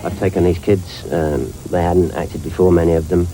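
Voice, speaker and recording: A man's voice: low-pitched, level tone, normal speed, loud music behind the voice, poor audio. A man from north London, a film director, answering interview questions in a reflective, rambling way.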